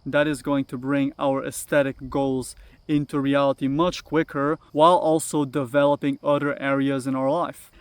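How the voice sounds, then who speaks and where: A young man with a slightly high voice talks straight to his viewers in an earnest, lecturing self-help monologue. He keeps checking in with a quick "right?" as he drives each point home. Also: dry recording. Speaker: self-improvement YouTuber.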